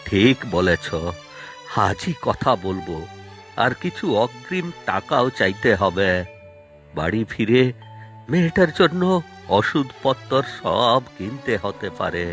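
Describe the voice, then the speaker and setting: A man's voice: fairly low pitch, sing-song, slow, fairly bright tone, soft background music, heavily acted. A man playing a poor, worried labourer and father in a cartoon, plaintive and folksy. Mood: anxious, pleading. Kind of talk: cartoon voice acting.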